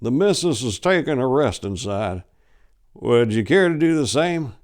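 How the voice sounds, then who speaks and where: A man's voice: medium pitch, sing-song, a little slow, a little mellow, pitched above the performer's own voice. A man voicing a weary, folksy old farmer in a horror story. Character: weary wrinkled old male Southern farmer.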